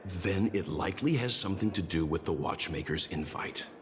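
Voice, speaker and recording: A male actor playing a composed, analytical investigator in a sci-fi game, speaking in a deliberate, formal, articulate way. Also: deep voice, background noise, close to an everyday voice. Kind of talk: dramatic game dialogue, measured exposition. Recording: loud music behind. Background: game music.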